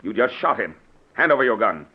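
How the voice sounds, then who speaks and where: An actor in a 1940s radio thriller playing a firm, commanding protector who takes charge briskly. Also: male voice, fairly low pitch, very animated. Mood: stern. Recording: tinny, noisy 1940s radio recording.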